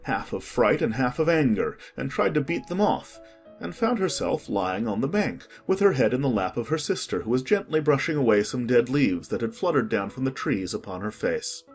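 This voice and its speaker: A man narrating an audiobook in a calm, measured way. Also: medium pitch, faint music behind.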